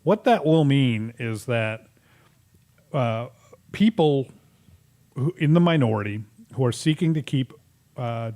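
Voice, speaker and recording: A man's voice: medium pitch, expressive, takes it slowly, long pauses, warm tone. A man from Massachusetts hosting a political talk podcast, joking sarcastically and restarting his sentences as he talks. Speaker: podcast host. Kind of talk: casual political chat.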